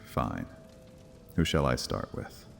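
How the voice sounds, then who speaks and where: Male vampire high priest, extremely low voice in a long reverberant hall, taunting and theatrical, for dark fantasy audio drama. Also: big pitch swings, slow, gravelly, soft background music, heavily acted. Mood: threatening, taunting.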